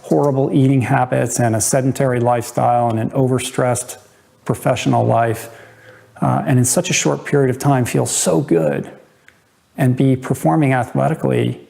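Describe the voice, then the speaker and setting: A man's voice: mid-range voice, moderate pace, gravelly, mellow. A man giving a reflective personal testimony in an interview.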